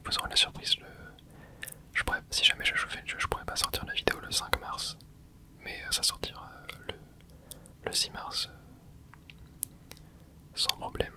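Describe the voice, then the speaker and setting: Soft unvoiced whisper, very high airy tone, hesitant rambling, casual gameplay chat. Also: moderate pace, pauses often. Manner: rambling, hesitant, many fillers and restarts. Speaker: ASMR gaming creator. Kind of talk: whispered ASMR gameplay commentary.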